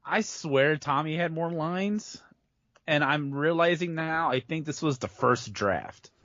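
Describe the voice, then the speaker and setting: A man's voice: a little high, expressive, takes it slowly, fairly bright tone, no room sound. A man chatting casually as a podcast guest, with an apologetic, self-deprecating manner. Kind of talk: casual chat. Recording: dull, lo-fi, very dry.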